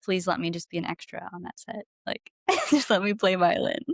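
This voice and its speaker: A woman talks casually as a guest in an interview, with plenty of creak in her voice. Her manner is informal and playful. The recording is dry and free of room sound. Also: on the low side, animated, normal speed, dry recording. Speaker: podcast guest, musician. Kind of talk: casual chat.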